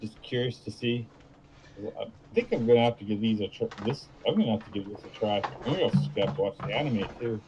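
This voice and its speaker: A man co-hosting a livestream in casual chat, agreeable and hesitant, with halting phrasing. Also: on the low side, background noise. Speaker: livestream co-host.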